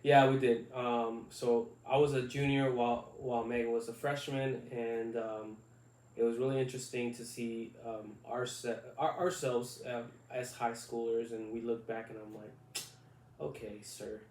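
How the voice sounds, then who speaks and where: A man in his thirties from Puerto Rico co-hosting a casual podcast, talking haltingly with many fillers and restarts. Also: medium pitch, steady tone, a little mellow. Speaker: podcast co-host. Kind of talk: casual chat. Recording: echoey room, mic at a distance, faint noise.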